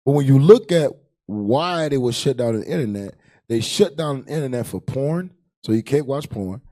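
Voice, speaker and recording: A man's voice: medium pitch, expressive, moderate pace, warm tone. An American man in his thirties giving emphatic, repetitive religious commentary in colloquial speech on a panel, into a handheld mic. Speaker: panel host, religious teacher. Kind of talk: impassioned preaching and panel commentary. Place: indoor curtained studio set, panel table. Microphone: handheld microphone.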